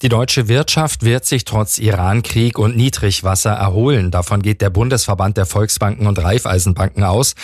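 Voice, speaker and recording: Newsreader, male, low voice, dry close studio sound, formal and measured. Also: a little mellow, no room sound. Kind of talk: radio news bulletin. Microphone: dry studio mic.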